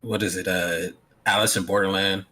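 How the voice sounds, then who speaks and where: A man co-hosting a casual podcast, blunt and opinionated, quick to laugh. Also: low voice, little pitch movement, pushed and bright, a little hiss or hum. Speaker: podcast co-host.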